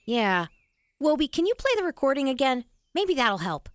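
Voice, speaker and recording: A woman's voice: high-pitched, big pitch swings, moderate pace, background noise. A woman playing a practical, sceptical young boy in a family audio drama.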